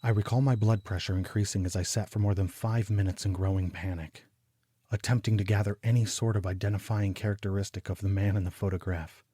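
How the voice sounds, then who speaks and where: An actor playing a brooding, obsessive homicide detective, narrating a noir audio drama in a clinical, deliberate manner. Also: male voice, lowish voice, steady tone, mellow, slightly rough, dry recording. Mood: haunted, brooding. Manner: clinical, deliberate, measured delivery. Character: brooding, obsessive male homicide detective recounting grim cases.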